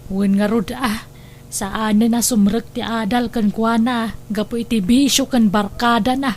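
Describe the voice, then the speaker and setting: Soothing, protective family woman played by an actress, high voice, radio drama, light background noise. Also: steady tone, unhurried, a little mellow, close to an everyday voice. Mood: gentle. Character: caring, soothing female relative of a troubled young mother. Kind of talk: Ilocano radio drama acting.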